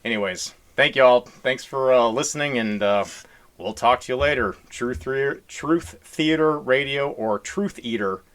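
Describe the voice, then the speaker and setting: A man's voice: mid-range voice, measured pace, fairly bright tone. A man co-hosting a podcast in casual, joking chat, rambling along in a folksy way. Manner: rambling, folksy y'all talk. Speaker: podcast co-host.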